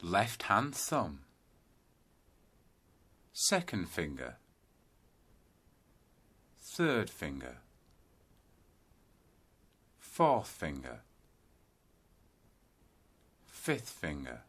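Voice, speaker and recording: A man guiding a yoga nidra meditation in calm, deliberate, instructional phrases with space between them. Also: low voice, husky. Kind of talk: guided meditation narration.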